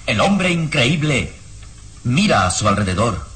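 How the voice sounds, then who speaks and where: A man's voice: medium pitch, big pitch swings, a little slow, a bit bright, breathy edge. A man narrating a vintage radio drama, describing scenes dramatically in the present tense.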